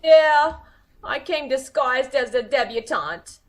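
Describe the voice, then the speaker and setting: A woman's voice: high voice, takes it slowly. A woman playing a tough, slangy, lovesick would-be killer in an old-time radio comedy mystery, with melodramatic delivery. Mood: scornful. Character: cute, tough, lovesick female would-be killer, excitable gangland moll.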